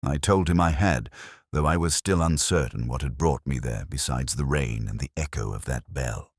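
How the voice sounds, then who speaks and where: A man as a very deep-voiced, brooding ageing detective. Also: no echo, clean studio sound. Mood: brooding, reflective. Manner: measured, reflective, solemn. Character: ageing, melancholy male detective, wry and brooding. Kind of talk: literary first-person radio drama narration.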